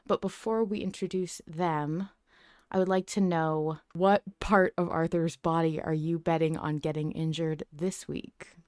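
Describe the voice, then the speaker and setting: Brief: laid-back woman host, a bit low, dry close mic, joking and casual. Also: fairly even intonation, a little slow, darkish tone, dry recording. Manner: playful, casual, swears lightly. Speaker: podcast host. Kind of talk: casual podcast chat.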